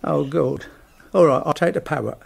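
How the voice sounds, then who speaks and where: An actor playing a bumbling, hapless man who talks in an excitable, fumbling way. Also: a little high, normal speed, vocal fry. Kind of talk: sitcom acting.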